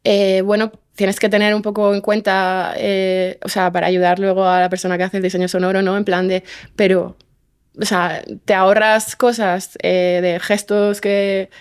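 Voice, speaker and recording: A woman with an accent from Spain chatting casually as an interview guest, halting and often tagging her phrases with little questions. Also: medium pitch, little pitch movement, clear, resonant tone. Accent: Spanish from Spain. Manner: halting, peppered with tag questions. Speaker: guest, audio fiction writer and director.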